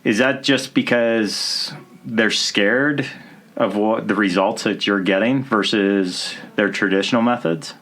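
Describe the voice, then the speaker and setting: Host: man, lowish register, conversational interviewer for a podcast. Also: slow pace, fairly bright tone.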